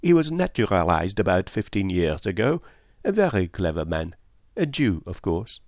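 A man voicing an animated, precise foreign detective. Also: low voice, moderate pace, no room sound, clean studio sound. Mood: thoughtful. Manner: precise, philosophical, sprinkled with French endearments. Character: Poirot, foreign detective, clever, placid, teasing older man. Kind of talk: audiobook character dialogue.

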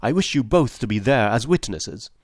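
A man's voice: medium pitch, animated, normal speed, a little mellow, no echo, clean studio sound, lightly acted. A man reading aloud and voicing an agitated husband who talks in a raised, stern, insistent voice. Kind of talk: audiobook character voice.

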